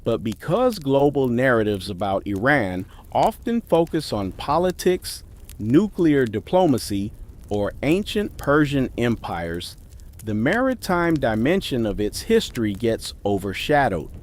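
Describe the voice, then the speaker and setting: Male documentary narrator, animated pitch, measured and emphatic. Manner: measured, emphatic list delivery.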